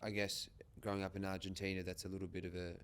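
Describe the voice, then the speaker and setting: A man's voice: low-pitched, completely flat delivery, quick pace, clean tone. A man with an Australian accent, hosting a podcast and interviewing in an informal, casual way. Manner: informal, occasional swearing.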